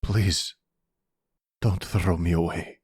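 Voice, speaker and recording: A man playing a repentant young crime heir in a roleplay audio drama, hesitant, halting and soft-spoken. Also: sits low, steady tone, breathy edge, no room sound, studio quality, full character voice. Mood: nervous, pleading.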